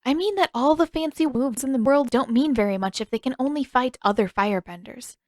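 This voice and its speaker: A woman's voice: high-pitched, fairly quick, no echo, studio quality, voice pushed up, lightly acted. A woman voicing a spirited, impatient teenage waterbender girl with a playful, pouty manner in an audiobook. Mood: encouraging. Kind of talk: audiobook character dialogue.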